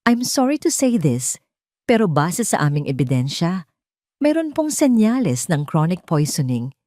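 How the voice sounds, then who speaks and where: A woman voicing a serious, worried hospital doctor in an audio drama, speaking politely and gravely. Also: on the low side, big pitch swings, a little slow, warm tone, no echo, clean studio sound. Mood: grave concern.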